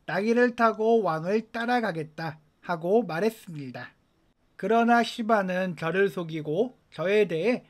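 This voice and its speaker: A man reads scripture aloud from a written text. His voice sits high for a male speaker. The delivery is that of scripted Bible narration, carrying both the narrative and the quoted dialogue. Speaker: scripture reader.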